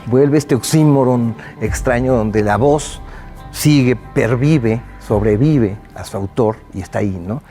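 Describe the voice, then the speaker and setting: A man's voice: medium pitch, measured pace, dark tone, soft background music. A man, a writer and editor in conversation, speaking in a reflective way with some restarts. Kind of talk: conversational interview.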